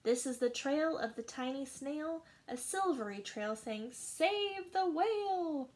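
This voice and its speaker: Woman teacher, storybook read-aloud, high-ish voice, slow deliberate pace. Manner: slow, expressive rhyming narration. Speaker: teacher reading a picture book aloud.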